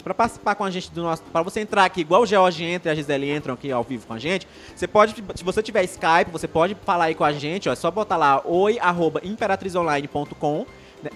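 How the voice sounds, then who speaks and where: A young man from Maranhão with a Maranhão accent hosting a live online show, chatting casually, reading viewer comments and often restarting his sentences. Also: fairly high pitch. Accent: Maranhão Brazilian Portuguese. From Maranhão, Brazil. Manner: rambling, many restarts, chatty and upbeat. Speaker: live stream host.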